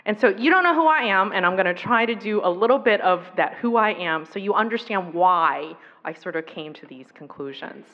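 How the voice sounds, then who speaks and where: A woman's voice: medium pitch, animated. A woman from the US East Coast whose first language was Taiwanese, giving an earnest, reflective personal talk on violence prevention. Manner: reflective, earnest, with pauses and fillers. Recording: dull recording in an echoey hall.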